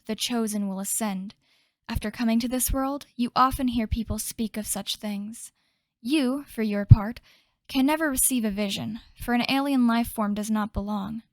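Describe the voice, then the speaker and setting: A woman acting as a solemn fantasy game storyteller with a somewhat high voice. She delivers scripted narration in a measured manner, recorded very dry and close. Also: a little mellow, no room sound, close to an everyday voice.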